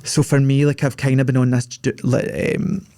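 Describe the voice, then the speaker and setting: A man's voice: mid-range voice, little pitch movement, normal speed, dark tone, no room sound. A young man with a Scottish accent hosting a podcast, chatting in a loose, rambling way full of fillers. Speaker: podcast host. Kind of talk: casual interview chat.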